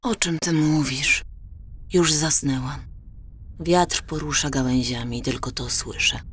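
A woman's voice: low-pitched, takes it slowly, background noise. A woman playing a curious, drowsy companion in an audio drama, speaking in an intimate, questioning way. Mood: sleepy, puzzled.

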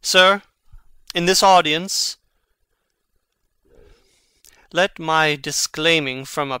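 An actor playing Hamlet, a brooding, witty young prince, in a measured, theatrical Shakespearean reading. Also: male voice, on the high side, pushed and bright, clear, resonant tone, no echo. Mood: earnest, contrite. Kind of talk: dramatic reading of Shakespearean verse.

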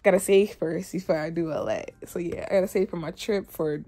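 A woman's voice: lowish voice, a little fast, warm tone, soft background music. A young woman vlogging casually as she cleans her room, rambling and talking straight to her viewers. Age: young adult. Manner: chatty, rambling, addresses viewers. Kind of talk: casual chat.

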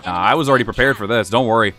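Young guy doing let's-play commentary, kinda hoarse, talks fast, lots of likes and ums, game music low in the back. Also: sharp tone. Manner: chatty, rambling with many fillers. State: slightly hoarse, losing his voice. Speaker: gaming channel host.

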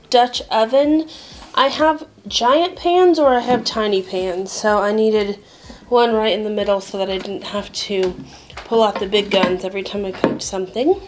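Chatty young woman, hushed home vlog. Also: a little high, slight background noise. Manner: casual, chatty, often drops to a late-night whisper. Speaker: home vlogger. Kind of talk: casual DIY vlog narration. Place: home, indoors, at night. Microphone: handheld phone camera.

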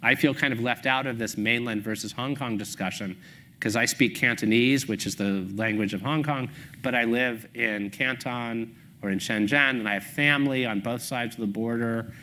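A middle-aged man talking on a stage panel through a lapel mic, his voice bright and forceful. He works through his ideas aloud, restarting phrases as he goes. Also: medium pitch, moderate pace, a little hiss or hum. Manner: thoughtful, restarts often while forming points. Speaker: panel guest, author. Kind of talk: panel discussion. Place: stage at a public talk, indoors.

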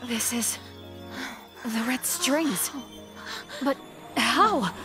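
A forthright young female anime game fighter, pitched high. She speaks with lively swings and focus, over loud music. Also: very slow. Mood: awed. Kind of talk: dramatic anime game voice acting.

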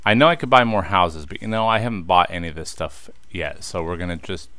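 A man's voice: low-pitched, brisk, sharp tone, no room sound. A man in his thirties chatting casually to viewers as he plays a game, easily frustrated and talking to them directly. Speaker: let's play host. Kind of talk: casual gameplay commentary.

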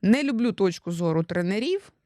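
A woman's voice: medium pitch, lively intonation, a little fast, mellow, no echo, clean studio sound. A young Ukrainian woman hosting a casual podcast, chatty and teasing, often stumbling over her words as she questions her husband. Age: young adult. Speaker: podcast host.

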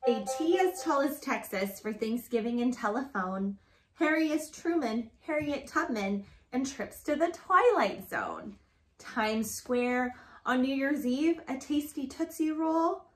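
A woman with a high voice reads a picture book to young children. Her delivery is sing-song and encouraging, as a storytime teacher would be. The room around her is live and echoey. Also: expressive, unhurried. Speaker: storytime reader. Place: living room, indoors. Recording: roomy, echoey home recording.

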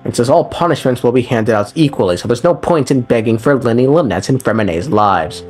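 A young man recaps a game story in a casual but scripted way. His mid-pitched voice swings up and down in a lively fashion, with dry, irreverent, slangy asides. Faint guitar music plays underneath. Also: a little fast, slightly rough. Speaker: game lore video narrator.

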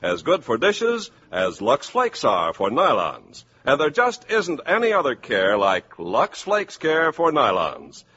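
A man announcing and pitching products in the polished style of a 1950s radio commercial. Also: wildly swooping pitch, slow, hardly any pauses, bright, forceful tone. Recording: muffled, hissy 1950s radio recording.

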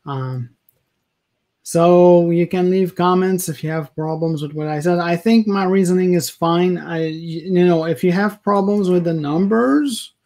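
Higher-pitched man, halting talker. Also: a little mellow. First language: French. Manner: halting, searching for words, self-correcting. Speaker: video blogger. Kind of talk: casual opinion rant to camera. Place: small indoor room, home office.

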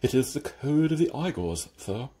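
A man voicing a calm, deferential, stitched-together manservant who answers dryly and patiently. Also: mid-range voice, measured pace. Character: unflappable, deferential stitched-together male servant. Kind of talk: audiobook character reading.

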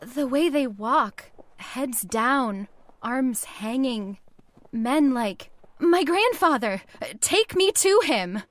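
A distressed heiress, played by an actress, questions in disbelief, halting and anxious. Her high voice moves slowly and swings widely in pitch, in the style of old-time radio horror. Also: animated, no room sound, exaggerated voice. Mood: horrified. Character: distressed, disbelieving female heir to a factory fortune.

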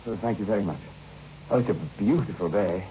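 A man's voice: on the low side, a little fast, warm tone, close to an everyday voice. An actor playing a genteel, hesitant male writer in an old radio drama, courteous and well-spoken. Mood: courteous, pleased. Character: genteel, anxious male writer lodging away from home. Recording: muffled old radio recording, faint noise.

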